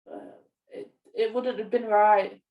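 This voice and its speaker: A woman's voice: mid-range voice, steady tone, slow, phone-quality sound. A woman co-hosting a podcast in casual, conversational chat. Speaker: podcast co-host. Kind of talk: casual podcast chat about films and games. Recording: echoey room, distant from mic.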